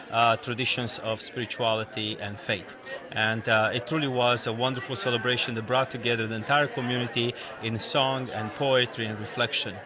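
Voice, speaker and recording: Romanian-accented priest amid a crowd. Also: male voice, mid-range voice. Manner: earnest, hesitant with many "uh" fillers. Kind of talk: event interview. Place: outdoor community holiday event. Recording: noisy crowd outdoors. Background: crowd chatter.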